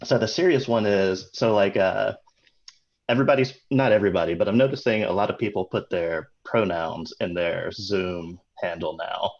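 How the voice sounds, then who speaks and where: A man hosting a casual chat on a video call, hesitant and halting. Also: sits low, a little mellow, dull audio. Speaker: host.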